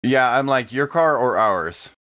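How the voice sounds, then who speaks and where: A man's voice: medium pitch, animated, measured pace, fairly bright tone, dull audio. A man playing a tabletop role-playing game, describing his character's actions in casual, improvised talk.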